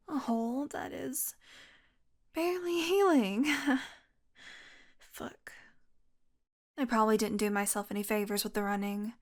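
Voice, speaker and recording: A woman playing a wounded, exhausted young vampire in an intimate audio roleplay, rambling wearily with sardonic, pained asides. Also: medium pitch. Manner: weary, rambling, sardonic, with sighs and breathy asides. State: exhausted, in pain. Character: wounded, exhausted young female vampire, wry and foul-mouthed.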